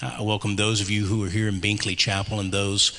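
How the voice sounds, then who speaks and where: Bright-voiced man moderating a chapel panel. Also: lowish voice, flat delivery. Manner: measured, with frequent uh fillers. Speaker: host and moderator. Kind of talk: event introduction. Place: chapel on stage. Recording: long reverb of a chapel.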